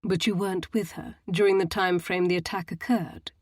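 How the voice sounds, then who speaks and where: A woman's voice: on the low side, fairly even intonation, normal speed, no room sound, clean studio sound, lightly acted. A woman voicing a stern man who questions people severely and with disdain. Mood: pressing.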